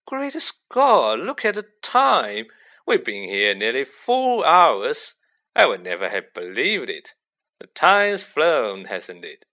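A man's voice: medium pitch, huge pitch swings, slow pace, bright, forceful tone, heavily acted. A man voicing a vain, self-satisfied celebrity wizard in a storybook, pompous and effusive, stretching out words for emphasis. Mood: puzzled, breezy. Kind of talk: audiobook character acting.

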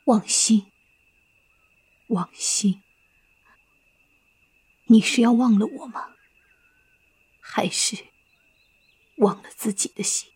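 Woman playing a slow-spoken, sorrowful Buddhist nun master. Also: fairly high pitch, darkish tone, slightly rough, exaggerated voice. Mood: wistful, grave. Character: grave, sorrowful older Buddhist nun master with lost love. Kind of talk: Chinese period audio drama acting.

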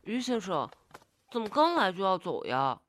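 An actress playing a meek, earnest young opera apprentice in a radio drama, polite, youthful and eager in manner. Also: female voice, mid-range voice, big pitch swings, normal speed, fairly bright tone.

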